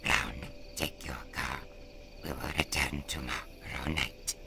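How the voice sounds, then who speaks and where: Radio drama: menacing alien overlord, rock-bottom pitch, gravelly, forceful. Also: male voice, steady tone, takes it slowly, heavily acted. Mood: cold, plotting. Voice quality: very deep gravelly voice. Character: sinister alien creature, calm, commanding, contemptuous of humans. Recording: faint background noise.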